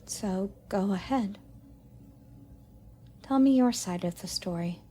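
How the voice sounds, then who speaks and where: Young goddess for audio roleplay, played by a woman: playful, curious, expressive, mildly haughty, leisurely delivery, slight noise behind. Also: medium pitch, slow pace, close to an everyday voice. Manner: inquisitive, expressive, cooing at a pet. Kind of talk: romantic audio roleplay addressed to the listener.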